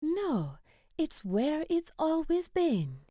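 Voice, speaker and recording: Mellow, slow, cryptic geisha. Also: female voice, sits high, huge pitch swings, dry recording, studio quality, heavily acted. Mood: cryptic calm. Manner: measured, knowing. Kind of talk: video game cutscene acting.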